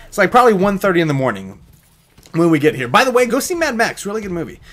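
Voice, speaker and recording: A man with a high-pitched American voice tells a storytime tale to his viewers. He rambles casually, joking and teasing as he goes. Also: wildly swooping pitch, fast, a bit bright. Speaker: YouTube vlogger telling a story.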